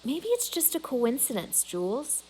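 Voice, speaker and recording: A woman's voice: high-pitched, huge pitch swings, a little slow, mellow, slightly rough, voice pushed up, heavily acted, low-quality recording. A woman voicing a skeptical, hesitant father in a storybook, doubtful and trying to reason.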